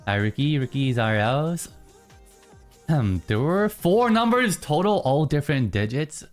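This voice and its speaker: A streamer in casual live-stream chatter, teasing chat and answering in mock outrage between low, mumbled musings. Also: very animated, a little slow, soft background music. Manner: reacts to chat, teasing, mock-indignant bursts.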